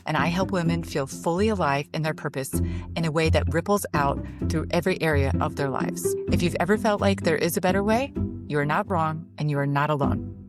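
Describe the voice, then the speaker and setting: A middle-aged woman hosting a podcast, giving a smooth, polished introduction and welcoming her guest. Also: sits low, brisk. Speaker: podcast host and coach. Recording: music under the voice.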